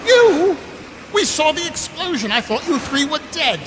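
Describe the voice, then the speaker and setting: Casting: man as excitable, blunt watch captain, very high swooping voice, live stage drama in a noisy hall. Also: unhurried, heavily acted. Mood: surprised, excited. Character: gruff, exasperated male city watch captain. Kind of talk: live audio theatre acting.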